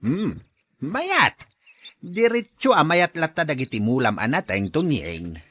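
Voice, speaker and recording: An actor playing an older uncle who praises his nephew's farm, hearty and quick to laugh. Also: fairly high pitch, sing-song, fairly bright tone, dry recording, exaggerated voice. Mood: approving. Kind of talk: audio drama acting.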